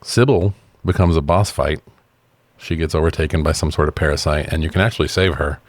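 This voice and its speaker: Very deep-voiced, sweary man chatting. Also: brisk, no room sound. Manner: informal, sweary. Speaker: podcast co-host reviewing films. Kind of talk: casual film review chat.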